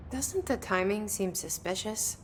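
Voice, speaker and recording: Woman playing wry, guarded heroine, lively pitch. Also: measured pace, a little hiss or hum, natural voice. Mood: guarded, curious. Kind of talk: video game dialogue acting.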